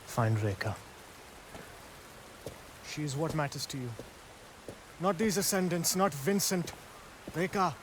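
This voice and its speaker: An actor playing a solemn, loyal companion in a cinematic video game, speaking in a grave, heartfelt, urgent way. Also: male voice, on the high side, expressive, slow, pauses often, slight background noise. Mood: solemn urging.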